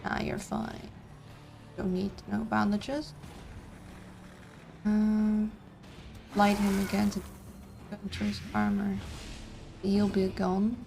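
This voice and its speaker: A woman, streaming a game and thinking aloud in a casual, halting way. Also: medium pitch, level tone, gravelly, darkish tone, soft background music. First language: Dutch. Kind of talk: casual live-stream commentary.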